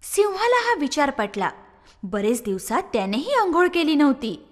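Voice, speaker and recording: A woman narrating a children's fable in a high, very lively voice that rises and falls expressively. Her storytelling is sing-song, and a long reverb trails behind each line. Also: moderate pace.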